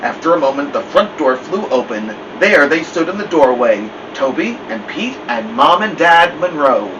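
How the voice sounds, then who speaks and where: Through a muffled, noisy, distant recording comes a dignified old dog telling a storybook tale, as read by a man. The voice is on the high side and moves in lively swings, wry and fond of digressing. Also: normal speed. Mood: wry, reflective. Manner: wry, digressive, formal wording. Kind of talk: first-person storybook narration.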